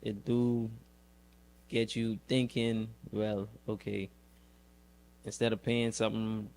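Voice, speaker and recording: A man hosting a casual interview, halting and repeating words as he works out his questions. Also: lowish voice, little pitch movement, vocal fry, a little mellow. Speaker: podcast host.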